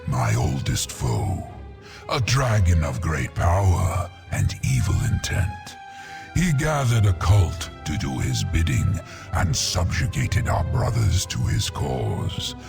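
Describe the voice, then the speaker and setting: Proud ancient dragon for a game, deep n gravelly, grave and commanding, music under it. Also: male voice, wildly swooping pitch, exaggerated voice. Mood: grim. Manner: slow, measured, imperious. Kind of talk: dramatic video game dialogue.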